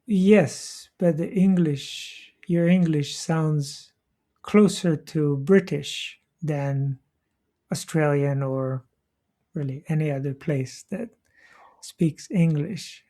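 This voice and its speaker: A woman hosting a podcast interview, with gentle, encouraging questions and lots of soft murmured agreement. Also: low voice, takes it slowly. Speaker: podcast host. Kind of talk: interview conversation.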